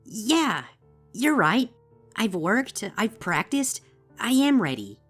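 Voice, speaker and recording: A woman's voice: a little high, very animated, slow, fairly bright tone, husky, full character voice. A woman voicing an eager, trusting young female changeling student in a chirpy, earnest storybook reading. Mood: determined, eager. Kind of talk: audiobook-style character dialogue. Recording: music behind the voice.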